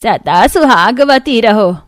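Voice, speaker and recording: A woman's voice: on the high side, lively intonation, takes it slowly, no room sound, studio quality. A woman voicing an elderly, long-suffering village mother-in-law, motherly and lamenting in a dramatic cartoon manner. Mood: tender.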